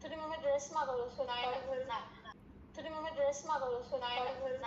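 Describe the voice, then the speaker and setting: A woman's voice: sits high, steady tone, slow pace, roomy sound, a little hiss or hum. A woman on a phone call, insistently protesting that she never placed the order. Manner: protesting, insistent, talks over the caller. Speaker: prank call target. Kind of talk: phone conversation.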